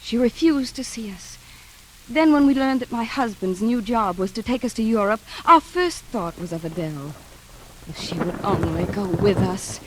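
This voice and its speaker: A woman's voice: mid-range voice, background noise, lightly acted. An actress in a 1940s radio drama playing a haunted, wistful woman, dreamy and hesitant as she confides her memories. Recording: vintage radio recording with music behind.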